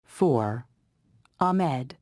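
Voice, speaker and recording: A woman reading textbook listening instructions in a measured, announcing way. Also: extremely low pitch, lively intonation, darkish tone, dry recording, clean studio sound. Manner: measured, announcing numbers and names. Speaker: audio course narrator. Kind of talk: scripted textbook audio instructions.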